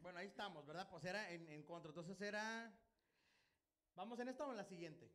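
A man's voice: high-pitched, fairly quick, a bit bright. A man with a Mexican accent hosting a game show in a small studio, joking and teasing the guests. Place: small studio set, indoors. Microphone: handheld mic.

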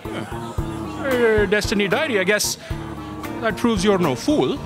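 A man's voice: sits high, expressive, slow. Man playing fussy assistant, middle-aged, theatrical explainer, stage drama, music behind. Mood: fussy, patient. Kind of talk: staged audio drama acting. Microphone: stand microphone on a theatre stage.

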